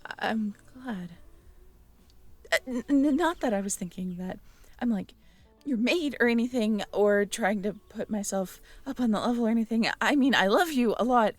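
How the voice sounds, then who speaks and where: A young woman in an intimate audio roleplay, talking softly to the listener, hesitant and stammering. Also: on the high side, normal speed, dry recording. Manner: hesitant, stammering, soft-spoken. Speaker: audio drama performer speaking to the listener.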